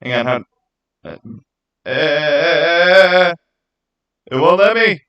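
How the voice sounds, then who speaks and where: A man chatting casually to viewers as a livestream host, earnest and rambling, with halting starts and repeated phrases. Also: a little high, lively intonation, lo-fi sound. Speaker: streamer, channel host.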